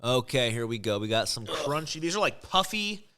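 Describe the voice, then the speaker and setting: A man's voice: mid-range voice, very animated, moderate pace, noisy background. A man co-hosting a podcast in casual snack-tasting chat, informal and given to repeating short phrases. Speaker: podcast co-host.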